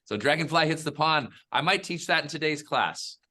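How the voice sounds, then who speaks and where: A man's voice: fairly high pitch, expressive, moderate pace, bright, forceful tone. A man, a qigong instructor, chatting casually and laughing easily on a video call.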